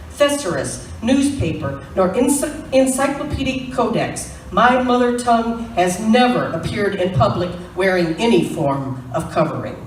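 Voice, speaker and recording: A woman with a fairly high voice performs a spoken-word poem, piling phrase on phrase in a steady, emphatic rhythm. She is picked up from some distance in an echoey room, with faint room ambience behind her. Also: a little hiss or hum. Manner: rhythmic, emphatic list-building delivery. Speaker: poet. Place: small venue at a poetry reading.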